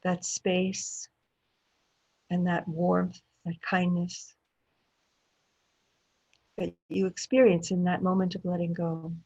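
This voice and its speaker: Slow, husky woman guiding meditation, muffled audio. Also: fairly low pitch, level tone. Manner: gentle, unhurried, with long pauses between short phrases. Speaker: meditation teacher. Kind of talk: guided meditation.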